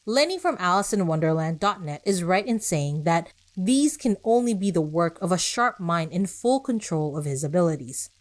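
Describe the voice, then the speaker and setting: A woman's voice: lowish voice, lively intonation, moderate pace, few pauses. A woman hosting a podcast, reading scripted narration with conversational asides, rhetorical questions and short emphatic phrases. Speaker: podcast host.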